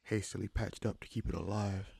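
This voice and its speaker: A man playing a kindly but gruff wounded bandit leader in an audio roleplay, speaking to the listener in halting, musing phrases. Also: on the low side, flat delivery, a little mellow, full character voice. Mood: thoughtful, suspicious.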